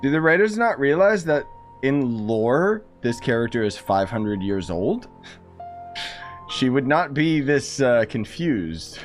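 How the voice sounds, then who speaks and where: Casting: male gaming streamer, mid-pitched, animated, sarcastic casual commentary over faint game music. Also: slow pace. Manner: sarcastic, incredulous.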